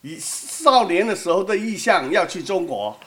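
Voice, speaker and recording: A woman's voice: mid-range voice, very animated, moderate pace, fairly bright tone. Cantonese accent lady preaching, bouncy up and down voice, keeps asking the crowd stuff. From Hong Kong. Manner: conversational, asks the audience questions. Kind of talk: sermon with live interpretation.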